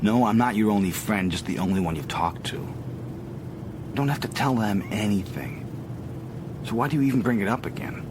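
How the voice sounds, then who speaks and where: An actor playing a cool, secretive criminal who tells his own story in a radio drama, in a measured, understated and wry way. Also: on the low side, noisy background, natural voice. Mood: guarded, insistent. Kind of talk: radio drama, first-person narration and dialogue.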